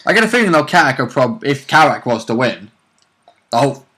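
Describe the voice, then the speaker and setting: Casting: male tabletop gamer, average-pitched voice, casual and agreeable, dropping joking remarks into a conversation. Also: big pitch swings, fairly quick, bright, forceful tone. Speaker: tabletop role-play player. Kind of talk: casual chat, commenting on a game.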